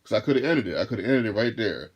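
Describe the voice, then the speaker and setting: A deep-voiced man in his thirties telling a casual storytime to his viewers, rambling and restarting his phrases as he goes. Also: very animated, racing, slightly rough, dull audio. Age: 30s. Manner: laid-back, rambling, repeats and restarts phrases. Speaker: vlogger and rideshare driver.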